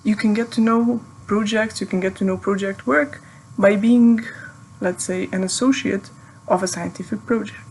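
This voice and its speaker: Young Serbian woman, native Serbian speaker, friendly advice tone, slight fry, faint hiss. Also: medium pitch, steady tone, moderate pace, darkish tone, poor audio. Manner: friendly, thoughtful, with light laughs. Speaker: PhD student giving advice. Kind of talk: recorded video message.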